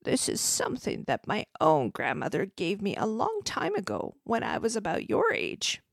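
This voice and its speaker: A woman voicing a loving elderly grandmother who speaks gently to her granddaughter. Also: mid-range voice, wildly swooping pitch, moderate pace, vocal fry, husky, no room sound, studio quality, pitched above the performer's own voice, full character voice.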